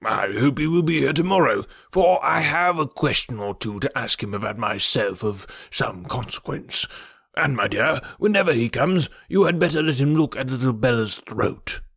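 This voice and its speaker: Here's a man doing a frail, fretful old gentleman with a husky, breathy voice, fussing and doting as he frets over every chill and draught. His tone is gently insistent and worried, and the recording is dry and close. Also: mid-range voice, lively intonation, normal speed, dry recording, exaggerated voice. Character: fretful, fussy elderly gentleman, anxious about health. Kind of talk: audiobook character reading.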